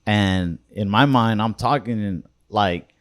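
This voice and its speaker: A young man hosting a podcast, talking in a mellow, laid-back way with lots of "bro" and "like". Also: lowish voice, takes it slowly. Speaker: comedian, podcast host. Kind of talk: casual podcast chat.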